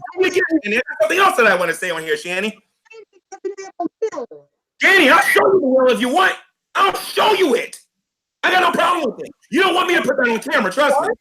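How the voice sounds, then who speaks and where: A hoarse man in a heated livestream argument, insistently repeating the other person's name as he pleads and accuses. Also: extremely high pitch, very animated, fairly quick, pauses often, pushed and bright, low-quality recording. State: hoarse, losing his voice. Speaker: YouTuber.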